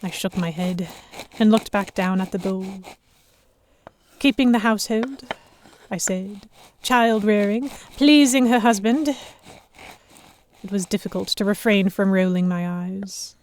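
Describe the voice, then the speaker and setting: A woman playing a sharp-tongued young lady hostage among pirates, narrating her own story in an expressive, introspective way. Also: medium pitch, a little slow, long pauses, a little hiss or hum, close to an everyday voice. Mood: wry. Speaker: narrator and lead character. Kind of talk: first-person audio drama narration.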